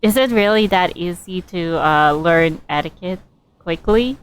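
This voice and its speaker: A woman streaming a game, casually commenting and reading dialogue choices in an amused, halting way. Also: on the low side, animated, clear, resonant tone.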